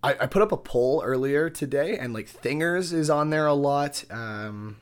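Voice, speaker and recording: A young man co-hosting a casual podcast, excitable and laughing often. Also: on the high side, normal speed. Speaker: podcast co-host.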